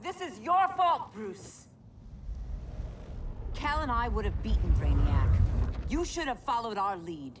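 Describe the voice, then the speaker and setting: An actress playing a proud, scornful warrior superheroine in a video game, forceful and accusing. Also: female voice, fairly high pitch, very animated, slow, long pauses, noisy background. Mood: angry, scornful. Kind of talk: video game cinematic acting.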